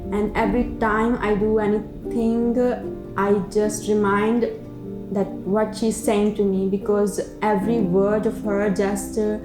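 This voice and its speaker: A young woman from Pakistan whose first language is Urdu, a doctoral researcher speaking reflectively about her life, with pauses and fillers. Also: medium pitch. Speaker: PhD researcher. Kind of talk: personal documentary narration. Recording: roomy with music underneath.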